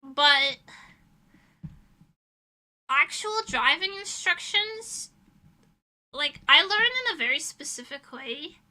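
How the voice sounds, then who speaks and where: Very bright, high-pitched woman streamer rambling casually. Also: expressive, slow pace, pauses often, poor audio. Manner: rambling, blunt, casual swearing. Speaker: livestreamer, illustrator. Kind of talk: casual livestream chat, reading and answering chat messages.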